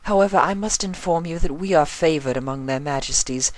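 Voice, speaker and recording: A man's voice: a little high, fairly quick, dry recording, voice pushed up, lightly acted. An elegant female pony, acted by a man reading a fan story, speaks in a raised, refined voice. The diction is formal and earnest, and the recording is dry and close. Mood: poised.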